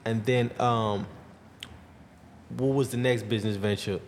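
A man hosting a podcast, relaxed and quick to repeat short reactions. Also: on the low side, long reverb tail, slight background noise. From Bahamas. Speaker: podcast host. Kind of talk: casual interview chat.

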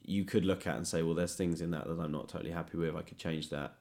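A man with a deep, low voice, hosting a podcast interview. He speaks thoughtfully and a little tentatively, qualifying his words as he goes in an informal conversational style. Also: steady tone, quick pace, a little mellow. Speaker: podcast host. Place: indoors, guest's home.

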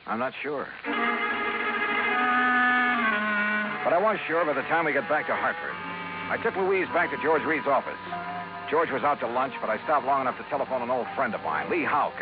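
Male actor, wry insurance investigator for 1950s radio drama; clipped hard-boiled narration, lively pitch, narrow vintage broadcast with music behind. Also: fast talker, close to an everyday voice. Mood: brisk, matter-of-fact.

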